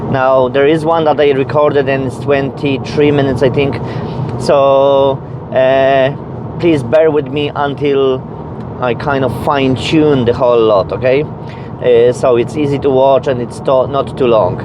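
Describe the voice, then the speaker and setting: A man with a Polish accent talks casually to his viewers at a slow pace. His delivery is halting, with phrases repeated and reworked as he goes. Clear ambient noise, like traffic or a vehicle, sits behind his medium-pitched voice. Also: fairly even intonation, lo-fi sound. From Poland. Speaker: YouTube vlogger. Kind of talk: casual storytelling and chat to viewers.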